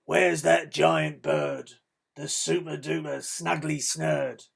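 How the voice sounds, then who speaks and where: A slow-talking storybook bad man, eager and pushy, with a gravelly edge, put on by a reader. He is a plain read-aloud villain from a children's picture book. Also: mid-range voice, fairly even intonation, a crowd in the background. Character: bad man digging for a giant bird.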